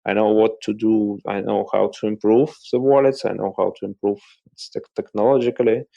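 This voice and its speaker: A man from Russia with a Russian accent, a company CEO answering interview questions in plain, slightly halting English. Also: on the low side, level tone, gravelly, mellow, muffled recording. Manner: plain, slightly halting non-native phrasing. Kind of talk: casual interview chat.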